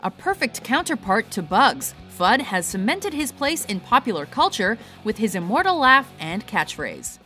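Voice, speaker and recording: A woman's voice: mid-range voice, sing-song, normal speed, hardly any pauses, bright, forceful tone, faint music behind. A woman reading scripted narration as the presenter of a countdown video.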